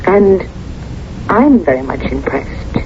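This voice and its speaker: A woman's voice: on the low side, sing-song, takes it slowly, soft background music. An actor playing a poised German businesswoman of about forty in a radio drama, formal, precise and coolly flirtatious. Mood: gracious, probing. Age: 40s. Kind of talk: 1970s radio drama acting. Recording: muffled 1970s radio recording, faint noise.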